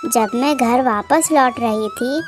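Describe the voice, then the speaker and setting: A humble, hard-up young village wife acted by a woman from a cartoon moral tale. Her voice is very high, and she speaks earnestly and politely over faint music. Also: slow. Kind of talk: cartoon moral story acting.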